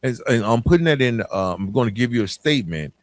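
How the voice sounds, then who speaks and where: A man's voice: mid-range voice, expressive, quick pace, dry recording. A man hosting a podcast, talking in a plainspoken, conversational motivational style and addressing listeners directly with rhetorical questions. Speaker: podcast host.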